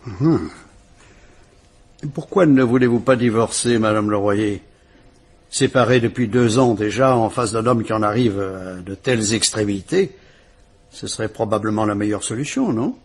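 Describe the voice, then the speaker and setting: An actor playing a patient, courteous police inspector who speaks in a calm, dry way. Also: male voice, on the low side, moderate pace, slightly rough. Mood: courteous, probing. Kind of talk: 1950s French radio drama acting.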